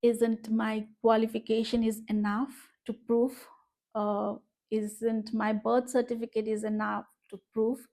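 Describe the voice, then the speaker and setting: Slow, hesitant Indian woman vlogging. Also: a little high, level tone, a little mellow. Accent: Indian English. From Northeast India. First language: Bengali. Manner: reflective, hesitant, many fillers. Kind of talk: personal vlog monologue. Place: her room in an apartment.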